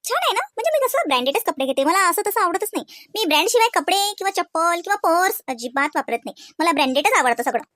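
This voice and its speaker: A woman's voice: very high-pitched, very animated, fast talker, hardly any pauses, fairly bright tone, dry recording, studio quality, full character voice. A woman voicing a boastful, fussy city sister-in-law in a comedy cartoon, with a showy, affected manner.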